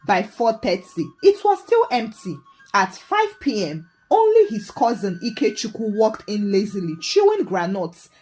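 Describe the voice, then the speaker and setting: A woman with a Nigerian accent telling a folktale as scripted narration in a dramatic, expressive way. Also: medium pitch, a little slow, soft background music. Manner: dramatic, animated storytelling. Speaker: storyteller.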